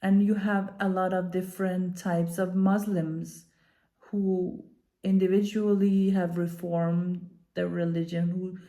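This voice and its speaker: Mellow-voiced woman from Iran, earnest and halting. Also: on the low side, flat delivery. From Iran, moved to Denmark as a child. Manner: earnest, halting, deliberate emphasis. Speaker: commentator, activist speaker. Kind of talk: talking to camera, unscripted opinion commentary.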